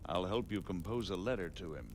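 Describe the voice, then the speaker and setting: An actor playing a shrewd, diplomatic medieval margrave in a game cutscene, with formal, deliberate speech. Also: male voice, deep voice, expressive, slight background noise. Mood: decisive. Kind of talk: video game cutscene acting.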